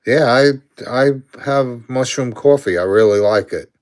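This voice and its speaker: A man's voice: mid-range voice, measured pace. A man in his sixties from Brooklyn, New York, with a Brooklyn accent, chatting casually on a live stream, answering viewer comments aloud and chuckling often.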